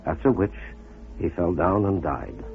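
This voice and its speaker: A man giving scripted documentary narration in a measured, dramatic way on a muffled old radio recording. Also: low-pitched, expressive, gravelly. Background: music.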